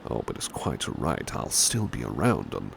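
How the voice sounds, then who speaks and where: A very deep, heavily creaky British gentleman butler acted by a man murmurs in a slow, soft ASMR style. He is courteous and protective, with old-fashioned formal phrasing that drifts into whispers. Steady rain noise sits behind the voice. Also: full character voice. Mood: kindly, reassuring. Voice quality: very deep, gravelly, breathy whispery edge. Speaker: ASMR roleplay performer. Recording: clear background noise, ambient rain sound. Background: rain ambience.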